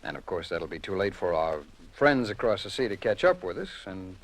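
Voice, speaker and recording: A self-assured, officious federal agent in an old American radio drama. His voice sits fairly low and he pauses coyly, choosing each phrase with care. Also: sing-song. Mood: smug, assured. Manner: measured, coy, officious, deliberately pausing.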